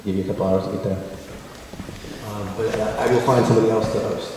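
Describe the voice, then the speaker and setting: A man hosting a community forum, talking in a low voice with many fillers and restarts, using a handheld mic in a large wood-paneled hall. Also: little pitch movement, quick pace, background noise. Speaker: forum host. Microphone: handheld mic, heard from a far camera. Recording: echoey hall from far off.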